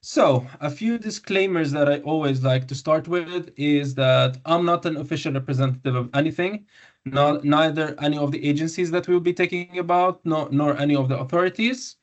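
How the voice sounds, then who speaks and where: Young Syrian man presenting a webinar, muffled. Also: fairly high pitch. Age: 20s. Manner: halting, many fillers and self-corrections. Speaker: doctor giving a webinar. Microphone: video call microphone.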